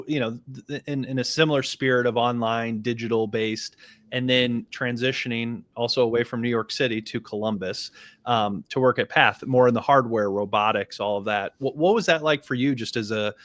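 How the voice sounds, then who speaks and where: A man's voice: medium pitch, fairly bright tone, dry recording. A man hosting a podcast interview, asking casual, rambling questions full of "you know" and "um". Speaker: podcast host.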